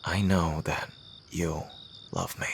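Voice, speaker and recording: A man playing a devoted fantasy rival confessing love in a romantic audio roleplay, speaking in an earnest, courtly, poetic way with soft hesitant pauses and a husky, breathy voice. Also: sits low, big pitch swings, slight background noise, full character voice. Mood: adoring. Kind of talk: romantic ASMR audio roleplay, intimate acting.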